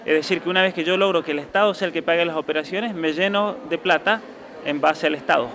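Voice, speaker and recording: A young man from Argentina, his accent softened by years abroad, answering interview questions in an earnest, emphatic way. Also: on the high side, fast talker. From San Juan, Argentina. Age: young adult. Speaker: author being interviewed.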